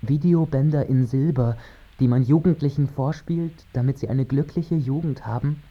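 A man's voice: medium pitch, moderate pace, natural voice. A male actor plays a male moon-bound narrator in a literary radio play. He declaims in an incantatory way, circling back on phrases, with an ordinary mid-range voice. The sound is slightly dull and band-limited. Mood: incantatory, solemn.

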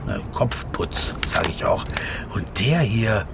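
A man's voice: on the low side, animated, takes it slowly, creaky, background noise, exaggerated voice. Wordy, self-doubting middle-aged man. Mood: bemused. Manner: ponderous, circumstantial, self-ironic. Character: wordy, pedantic middle-aged male legal counsel, weary and self-doubting. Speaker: narrator and protagonist. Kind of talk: scripted literary radio drama monologue.

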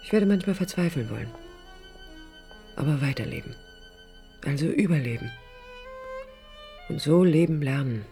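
Proud wounded woman architect, self-willed, reflective radio-drama delivery, deliberate phrasing, music in the background. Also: low-pitched, wildly swooping pitch, mellow, exaggerated voice. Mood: pained resolve. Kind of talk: 1980s German radio drama, introspective narration and dialogue.

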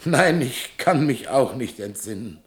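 An actor playing a fussy, excitable paint dealer eager to please, in a German radio play. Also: male voice, medium pitch, a little slow, noisy background. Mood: querulous, placating.

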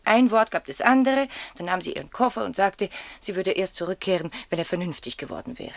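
An actress playing a proud, troubled young wife in a German radio play, loyal and evasive. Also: female voice, lowish voice, animated, brisk, gravelly, no echo. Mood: troubled, confiding.

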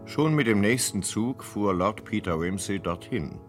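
Low-voiced storyteller over music. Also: male voice, expressive, a little slow. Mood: composed. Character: composed third-person storyteller. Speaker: narrator. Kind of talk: scripted radio play narration.

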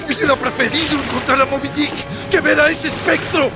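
A wary first mate in a radio play, speaking gravely about danger at sea. His voice sits very high and tight. Music plays underneath. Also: fairly even intonation, brisk. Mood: grave, uneasy. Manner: grave, warning. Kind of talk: radio drama acting.

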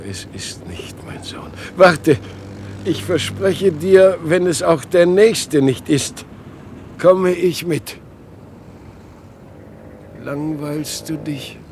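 An actor playing a frail old father waiting for his lost son in a radio play, halting and swinging between murmurs and outbursts. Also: fairly high pitch, darkish tone, slight background noise. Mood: pleading. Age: older. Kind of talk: German radio play acting.

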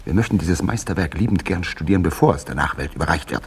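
Fanatical aspiring politician: deep, rough-edged voice, oily courtesy, pompous speeches, radio drama. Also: male voice, lively intonation, full character voice. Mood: self-important. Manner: grandiloquent, unctuous, deliberate rhetoric.

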